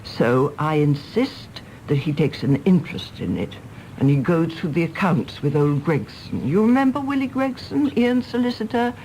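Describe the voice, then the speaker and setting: A woman's voice: low-pitched, lively intonation, moderate pace, mellow, slight background noise, low-quality recording. An actress playing an outspoken elderly English mother, emphatic and genteel, affectionate but exasperated, in British radio drama style. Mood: indignant, brisk. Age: older.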